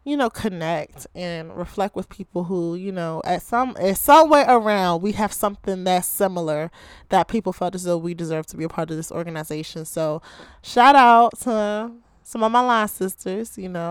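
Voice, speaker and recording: A young woman in her twenties from Baltimore hosts her own podcast, talking casually and chattily with a slightly hoarse voice that is still coming back. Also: on the low side, normal speed, no echo, studio quality. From Baltimore, Maryland, USA. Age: 20s. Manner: chatty, heavy use of "like" and "you know". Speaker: podcast host. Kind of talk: casual solo podcast chat.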